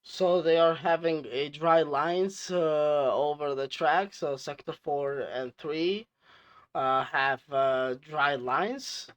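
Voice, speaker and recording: A man who is a non-native English speaker hosting casual commentary, explaining things in a halting, repetitive way. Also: fairly high pitch, no room sound. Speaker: host reviewing team radio clips.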